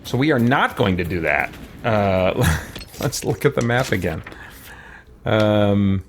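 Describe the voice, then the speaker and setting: A man's voice: lowish voice, animated, takes it slowly, slight background noise. A man giving casual let's play commentary, thinking aloud and muttering to himself as he goes, often repeating words. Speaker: gamer hosting a let's play.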